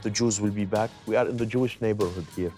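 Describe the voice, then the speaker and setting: Earnest low-voiced man, music behind. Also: quick pace. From Lebanon. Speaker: interviewee. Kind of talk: interview answers.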